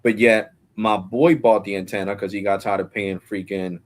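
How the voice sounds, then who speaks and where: A man's voice: fairly low pitch, fairly even intonation, normal speed. A man in his forties hosting a casual live stream, rambling in slang and calling people bro. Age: 40s.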